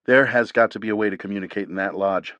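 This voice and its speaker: A level-headed soldier, as a male narrator plays him, steady and measured in a deep-leaning voice close to the narrator's own. The reading is dry and close. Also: no room sound, highs cut off, natural voice. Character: calm, focused male military operative.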